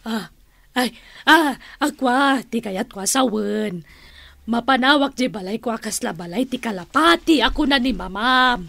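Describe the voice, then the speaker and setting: An actress playing an exasperated comic auntie in an Ilocano radio drama, hesitant and full of exclamations. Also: female voice, fairly high pitch, expressive, a little slow, gravelly, a bit bright, no echo, heavily acted. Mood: flustered.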